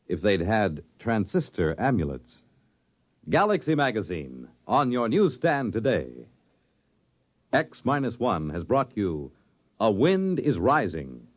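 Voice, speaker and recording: Man, American accent, 1950s radio announcer, formal, dramatic, animated intonation, slightly rough voice. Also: medium pitch, highs cut off.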